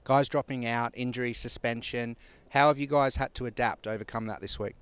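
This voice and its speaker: A man interviewing a player pitchside after a match, asking brisk, direct questions. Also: fairly even intonation, fairly bright tone, slight background noise. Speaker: club interviewer. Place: outdoor stadium pitchside at night. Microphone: handheld mic.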